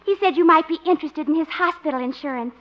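An actress playing an impish, teasing small-town operator in an old-time radio sitcom, with a chirpy, playful delivery. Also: female voice, high voice, fast talker, lightly acted. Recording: muffled early 1950s radio recording.

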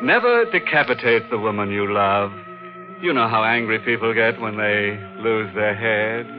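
A man's voice: lowish voice, expressive, normal speed, natural voice. An actor playing a mock-sinister, tongue-in-cheek horror host on 1940s radio, savouring his macabre puns. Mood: gleeful, macabre. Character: gleefully macabre male horror show host. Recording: muffled old radio broadcast with music.

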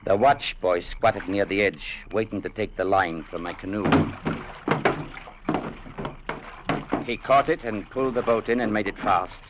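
An actor playing a stern, principled adventurer who narrates an old radio drama in a measured, deliberate way. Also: male voice, on the low side, heavy background noise, close to an everyday voice. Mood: reflective narration. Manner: measured, deliberate, grimly resolute. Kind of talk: 1940s-50s radio drama narration and acting. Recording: muffled old radio recording with music behind.

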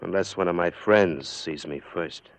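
An actor playing a domineering, edgy gangster boss with an American accent and slangy, blunt talk, in 1950s radio crime drama style. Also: male voice, low-pitched, measured pace, slight background noise. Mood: shifty, wary. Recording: muffled old radio drama recording.

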